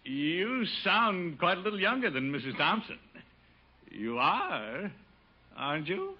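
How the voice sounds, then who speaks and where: An actor playing a blustery, pompous middle-aged bachelor uncle in a theatrical 1950s radio comedy style. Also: male voice, high voice, huge pitch swings, slow, exaggerated voice. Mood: eager, wheedling. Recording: muffled, faintly noisy old radio recording.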